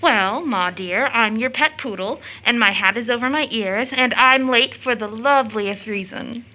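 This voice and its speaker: A woman's voice: fairly high pitch, moderate pace, pushed and bright, muffled recording. A young American woman acting a playful, affectionate, impulsive daughter in a period audio drama, with effusive, teasing, girlish delivery. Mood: playful, gushing.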